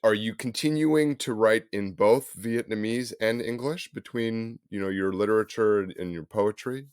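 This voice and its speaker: A man's voice: on the low side, normal speed, clean tone, no room sound, clean studio sound. A man interviewing an author, attentive and affirming, asking long, considered questions. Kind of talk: conversational interview.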